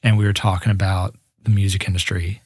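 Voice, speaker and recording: A man's voice: low voice, level tone, a little fast, slightly rough, no echo, studio quality. A man in his twenties, a musician guest in a casual interview, talking earnestly and reflectively with frequent restarts. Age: 20s.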